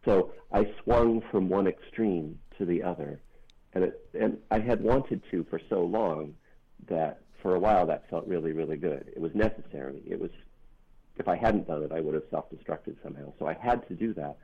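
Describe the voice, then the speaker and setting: A middle-aged non-binary author with a deep bass voice, speaking thoughtfully and earnestly in an interview, pausing on ums and restarting sentences. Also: creaky, husky. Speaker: author guest. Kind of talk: reflective interview talk. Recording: narrow phone-line sound.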